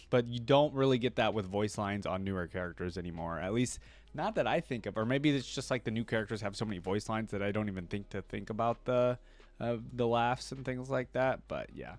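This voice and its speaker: A man's voice: mid-range voice, clear, resonant tone, no room sound. A young man hosting a reaction video, chatting casually with lots of fillers.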